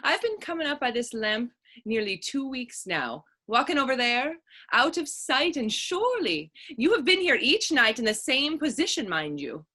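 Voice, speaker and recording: An actress playing a sassy, quick-witted young Irish woman in a stage play reading, saucy and challenging in folksy phrases. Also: high voice, big pitch swings, bright, forceful tone, exaggerated voice. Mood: inquisitive. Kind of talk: stage readers theater dialogue.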